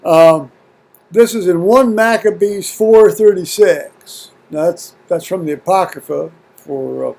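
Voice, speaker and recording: An American man video blogging at home in a halting, folksy, rambling way, muttering to himself and reading to viewers. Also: sits high, gravelly, darkish tone. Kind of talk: rambling casual chat with scripture reading. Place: at home, indoors.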